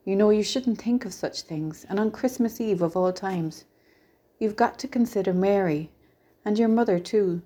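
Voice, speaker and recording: A woman's voice: medium pitch, normal speed, close to an everyday voice. Woman as a kindly, mysterious little peddler man. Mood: gentle, knowing. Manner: patient, coaxing, brightly reassuring.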